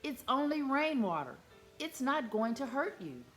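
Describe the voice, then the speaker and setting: A gentle, caring mother duck, as read by a woman, soft and soothing in her words. The tone stays bright and the pitch moves a lot. Faint music hums behind her. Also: very animated, a little slow, exaggerated voice.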